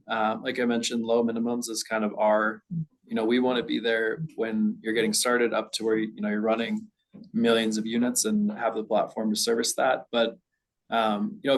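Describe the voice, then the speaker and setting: A man's voice: lowish voice, low-quality recording. A man answering a question on a panel at a live event, talking conversationally with many fillers. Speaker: pouch manufacturer on a panel.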